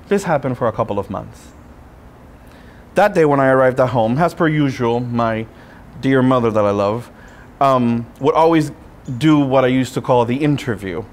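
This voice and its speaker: A man, a Spanish speaker, preaching a sermon as a friar with personal storytelling, in deliberate phrases broken by pauses. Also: mid-range voice. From Puerto Rico. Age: 30s.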